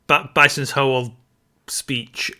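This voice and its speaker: Male podcast co-host, bright and smooth tone, casual conversational delivery. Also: medium pitch, level tone, slow. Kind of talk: casual chat.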